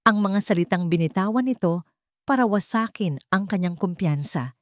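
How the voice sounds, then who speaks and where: A woman's voice: medium pitch, normal speed, no room sound, clean studio sound. A woman storyteller reading scripted fiction in a dramatic narration style.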